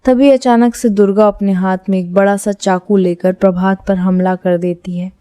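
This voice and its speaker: Female narrator for scripted horror stories: warm, dark timbre, very dry close recording. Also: medium pitch, fairly even intonation, normal speed, smooth, no room sound.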